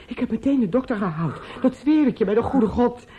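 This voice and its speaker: An actress in a Dutch radio play playing an anguished, talkative female relative who breaks terrible news, theatrical, halting and pleading. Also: on the high side, animated, husky. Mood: grave, distressed. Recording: muffled, noisy old radio play recording.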